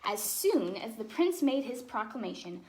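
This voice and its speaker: A woman hosting storytime, reading a fairytale aloud to children expressively, with casual explanatory asides in a softer, chatty manner. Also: fairly high pitch, big pitch swings, moderate pace, a little hiss or hum. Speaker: storytime host and ballet dancer.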